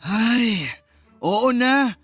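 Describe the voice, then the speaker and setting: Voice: medium pitch, animated, drawn-out words, fairly bright tone, husky, no room sound, heavily acted. Playful, dramatic young best friend. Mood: teasing. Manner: mocking, exaggerated, chatty banter. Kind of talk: Filipino radio-style romance drama acting. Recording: music behind the voice.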